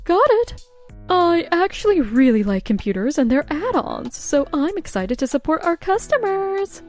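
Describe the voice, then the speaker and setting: Eager, very high-voiced young saleswoman, played by a woman. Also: sing-song, normal speed, faint music behind. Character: cheerful, eager young female sales newcomer. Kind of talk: animated manga dub voice acting.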